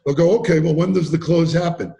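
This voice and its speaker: A man talking as a podcast guest in a casual interview, animated and emphatic, acting out quotes as he tells stories. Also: a little high, fast, vocal fry. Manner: animated, emphatic storyteller who acts out quotes. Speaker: podcast guest, sales trainer.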